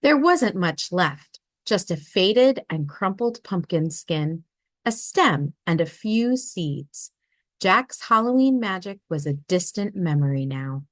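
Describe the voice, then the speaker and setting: Slow, expressive woman reading a storybook. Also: lowish voice, no echo. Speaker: read-aloud narrator. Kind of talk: children's picture book read aloud.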